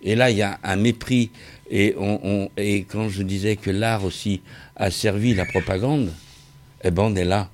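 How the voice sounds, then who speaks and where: A middle-aged man speaking in a group discussion, insistent and halting, often repeating phrases as he argues his opinion. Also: lowish voice, fairly even intonation, slight background noise. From Vietnamese origin. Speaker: discussion participant, former craftsman and sound technician.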